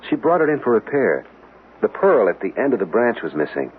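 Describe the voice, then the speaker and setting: A man's voice: fairly low pitch, big pitch swings, a little fast. An actor playing an intent, inquisitive man in a radio drama, earnest, insistent and dramatic in delivery. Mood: intent, serious. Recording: tinny, noisy vintage radio broadcast.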